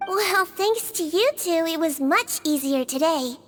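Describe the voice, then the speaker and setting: A woman voicing a small, gentle nonhuman nurse girl in a game, soft, earnest and matter-of-fact. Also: very high voice, expressive, unhurried, slight background noise. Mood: earnest caring. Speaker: head nurse. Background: game soundtrack music.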